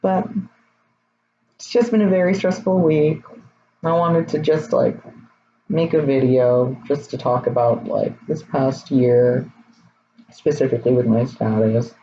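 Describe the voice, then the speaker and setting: A young man in his twenties, his voice a little raspy, talking candidly and hesitantly in a personal vlog. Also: mid-range voice, a little slow, long pauses, dark tone. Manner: candid, rambling, hesitant with many fillers. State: slightly raspy voice. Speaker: vlogger. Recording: slightly dull, faint noise.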